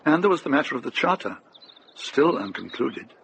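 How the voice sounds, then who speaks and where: An actor narrating as a grave, measured storyteller in a scripted radio drama. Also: male voice, mid-range voice, very animated, gravelly, fairly bright tone, a little hiss or hum. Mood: measured, dry.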